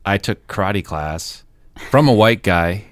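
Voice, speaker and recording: A man hosting a music podcast, reading a scripted intro and then asking measured questions. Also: low voice, expressive, no echo, studio quality. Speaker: podcast host. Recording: music bed under intro.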